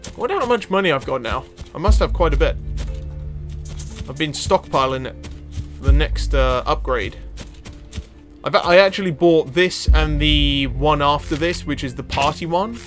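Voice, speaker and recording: A man giving casual, excitable gameplay commentary as a gaming video creator. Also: a little high, normal speed, pauses often. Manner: excitable exclamations, sweary banter. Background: game music.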